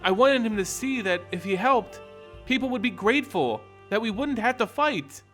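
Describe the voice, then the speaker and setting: A man voicing an earnest, conflicted werewolf heroine in an audio story, halting and heartfelt. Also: sits high, expressive, a bit bright, pitched above the performer's own voice. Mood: regretful. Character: earnest, troubled werewolf woman, idealistic and conflicted. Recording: music behind the voice.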